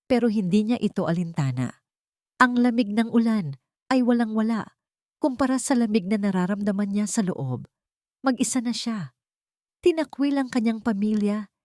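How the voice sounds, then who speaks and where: A woman's voice: medium pitch, a little mellow, no room sound, studio quality. A woman narrating a dramatic love story in an emotive, melodramatic way.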